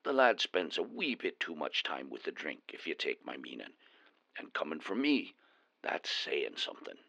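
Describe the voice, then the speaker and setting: Casting: man as a gruff, chatty dwarf news reporter with a lilt, very deep gravelly voice, crisp and bright. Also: wildly swooping pitch, normal speed, no room sound, muffled recording, full character voice. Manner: chatty, wry storyteller. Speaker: remote correspondent.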